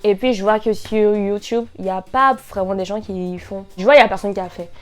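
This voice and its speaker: A woman's voice: mid-range voice, brisk, soft background music. A young woman with an Ivorian French accent, chatting casually and jokily to camera in a vlog. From Côte d'Ivoire. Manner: lively, joking, animated. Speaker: YouTuber.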